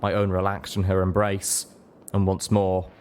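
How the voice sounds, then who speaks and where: A man's voice: deep voice, completely flat delivery, moderate pace, darkish tone, slight background noise. A man reading an audiobook, giving scripted fiction a dramatic narration. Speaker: audiobook reader.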